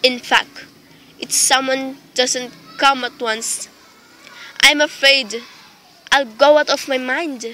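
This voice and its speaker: A woman's voice: high voice, fairly even intonation, slow, pauses often, pushed and bright, a little hiss or hum, full character voice. A woman playing a nervous, demanding invalid wife in a dramatic radio play. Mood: anxious, insistent. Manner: imperious, dramatic, fretful.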